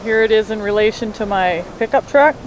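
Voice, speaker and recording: A woman's voice: medium pitch, fairly quick, rough recording. A woman narrating casually with a handheld camera outdoors at a wind turbine, talking plainly with small hesitations. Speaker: amateur videographer. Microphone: handheld camera mic. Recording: wind-buffeted outdoor camera audio with loud engine noise. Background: diesel truck idling and wind.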